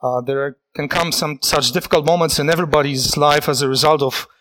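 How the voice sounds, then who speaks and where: Earnest preacher. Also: male voice, on the high side, fairly even intonation, fairly bright tone. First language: Polish. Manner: earnest, reflective, halting with restarts. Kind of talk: sermon.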